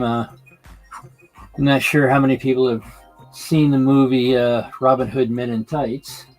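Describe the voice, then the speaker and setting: A man live streaming his hobby, talking casually and haltingly while he works on a model layout, with lots of fillers and restarts. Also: medium pitch, darkish tone, low-quality recording.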